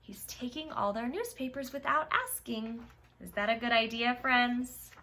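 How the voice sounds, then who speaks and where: Female storytime reader, high voice, very animated delivery, speaks directly to kids and reacts as she reads. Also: a little slow. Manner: expressive, addresses children, exclaims and reacts to the story. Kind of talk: read-aloud picture book narration with asides to young listeners.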